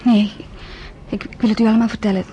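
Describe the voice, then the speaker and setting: A woman's voice: mid-range voice, level tone, fast talker, mellow, dull audio, a little hiss or hum, lightly acted. A woman playing an earnest teenage girl. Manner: earnest, hesitant, stumbling over words. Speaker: radio drama actress. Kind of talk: radio play narration and acting.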